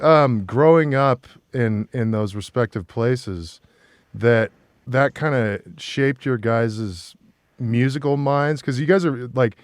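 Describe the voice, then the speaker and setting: A man's voice: fairly low pitch, no room sound. A man with a North American accent hosting a casual chat interview as a talk show host. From Portland, Oregon, United States.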